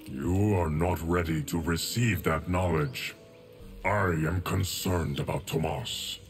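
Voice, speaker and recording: An actor playing an ancient, stoic immortal guardian of time in a fighting game, speaking in formal, measured, portentous lines. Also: male voice, low voice, steady tone. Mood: solemn. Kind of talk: fighting game character dialogue. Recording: long reverb, music behind.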